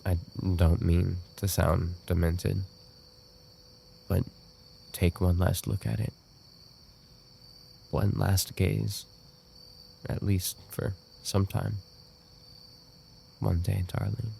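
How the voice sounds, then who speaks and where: A man playing an obsessive, unstable yandere roommate in an audio roleplay, with an intimate, halting delivery. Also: level tone, warm tone, a little hiss or hum, heavily acted. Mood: wistful, tender. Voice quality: low, gravelly. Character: obsessive male yandere roommate, soft-spoken, darkly devoted, unstable. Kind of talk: boyfriend ASMR audio roleplay.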